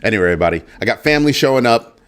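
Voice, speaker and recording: A man's voice: expressive, racing, a bit bright, clean tone. A middle-aged man from the United States hosting a crypto livestream, talking casually to his viewers and repeating phrases with playful asides. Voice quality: deep voice. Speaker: crypto show host.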